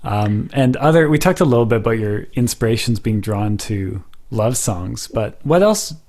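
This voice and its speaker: A man's voice: mid-range voice, animated, moderate pace, darkish tone. A man hosting a conversational music interview, attentive and articulate as he prompts his guest with questions. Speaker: interviewer, podcast host.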